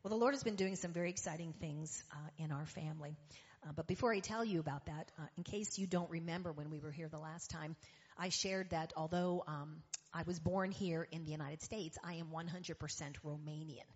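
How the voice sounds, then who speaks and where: A woman's voice: sits low, brisk, breathy edge. A woman from western Pennsylvania, a guest speaker giving a chatty church testimony with fillers and light jokes. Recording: dull sound with long hall reverb.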